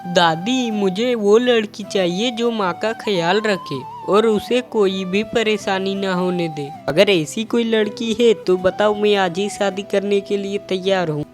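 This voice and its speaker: A woman's voice: mid-range voice, unhurried, few pauses, close to an everyday voice. Cartoon son: young man, caring and earnest, voiced by a woman; music bed.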